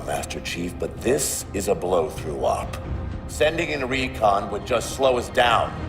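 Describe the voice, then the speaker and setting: A man's voice: fairly low pitch, close to an everyday voice. An actor playing an arrogant male starship captain who gives orders in a curt, commanding, dismissive way. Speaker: ship captain. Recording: reverberant cutscene with score.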